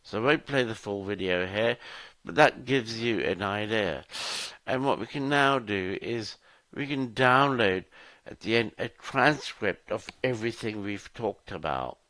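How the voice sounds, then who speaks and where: Male presenter for a product demo: unhurried, halting explanatory delivery, dry close sound. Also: on the low side, animated, pushed and bright, no echo. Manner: halting, explanatory, in short phrases. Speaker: solution architect presenter. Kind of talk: software demo walkthrough.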